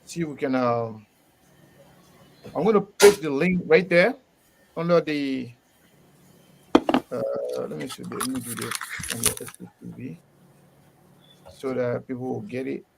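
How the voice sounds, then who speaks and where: A man hosting a casual live interview, animated and quick to laugh, often repeating his words. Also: medium pitch, vocal fry, background noise. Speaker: host.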